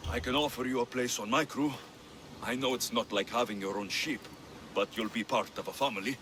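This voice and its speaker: An actor playing a confident, direct ancient Greek mercenary with a dry manner in a video game cutscene. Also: male voice, medium pitch, brisk, pushed and bright, slight background noise, lightly acted. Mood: reassuring.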